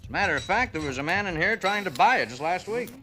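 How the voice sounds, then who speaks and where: An actor playing a genial but fretful middle-aged diner owner in old radio drama style, chatty and folksy, hesitant when flustered. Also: male voice, sits high, big pitch swings, fast, pushed and bright, full character voice. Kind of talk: 1950s radio drama acting. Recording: hissy old radio recording.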